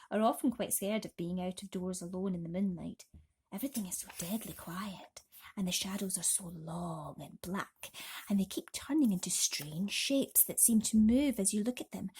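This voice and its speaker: A woman reads a bedtime story in an expressive, dramatic manner. Her voice sits at a medium pitch and often sinks into a breathy hush. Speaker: storybook reader.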